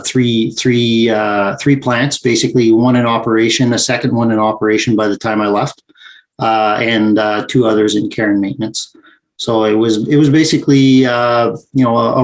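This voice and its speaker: Man in his forties, mid-pitched, methodical interview answers. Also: poor audio. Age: 40s. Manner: steady and methodical, frequent "uh" fillers. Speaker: guest, VP of mining and projects.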